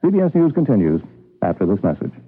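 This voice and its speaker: A man's voice: lowish voice, very animated, quick pace, slightly rough, faint music behind. An American man reading a scripted radio newscast as its anchor. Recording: muffled narrow-band old radio broadcast.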